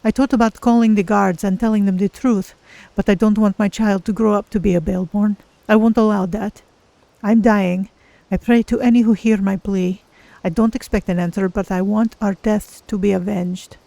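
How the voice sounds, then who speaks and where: A woman's voice: mid-range voice, fairly even intonation, normal speed, gravelly, mellow, no room sound, close to an everyday voice, rough recording. A woman playing a betrayed, dying young woman, bitter and sorrowful, in a video game monologue.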